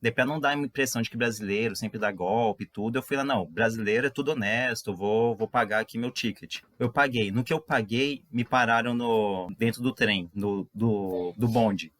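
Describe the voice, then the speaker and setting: A man's voice: fairly low pitch, normal speed, few pauses, a bit bright. A man, a podcast co-host, telling a travel story in a loose, self-correcting way. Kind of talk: casual anecdote telling.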